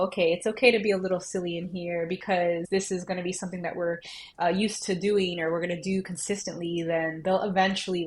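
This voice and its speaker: Colombian-born teacher lady chatting in an interview, lively, laughs a lot, says like constantly. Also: fairly low pitch. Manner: animated, laughs often, many "like" fillers. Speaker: educator and coach. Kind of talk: casual interview chat.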